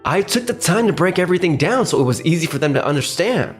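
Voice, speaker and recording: A man's voice: a little high, very animated, quick pace, soft background music, voice pushed up. A man doing a hapless, whiny young businessman. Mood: defensive.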